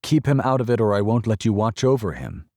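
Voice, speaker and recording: A man voicing a protective mother speaking harshly in clipped, sharp words. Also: fairly low pitch, expressive, fairly quick, dry recording, clean studio sound, pitched above the performer's own voice.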